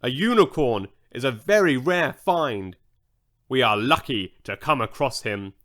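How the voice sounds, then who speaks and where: A man's voice: mid-range voice, lively intonation, a little slow, a bit bright. Man acting a greedy, commanding storybook villain. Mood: menacing, gloating.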